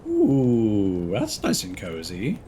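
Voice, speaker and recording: A man's voice: lowish voice, huge pitch swings, takes it slowly, dark tone, slight background noise. A man livestreaming a video game, chatting informally with viewers in a laid-back, friendly way. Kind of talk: casual let's play commentary, chatting with viewers.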